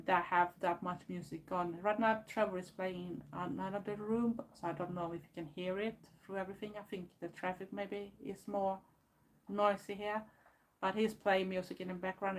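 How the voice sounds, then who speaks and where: A woman from Sweden with a Swedish accent, chatting casually on a home live stream from her bedroom, rambling with fillers and restarts. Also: fairly low pitch. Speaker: goth YouTuber. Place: bedroom at home, door closed.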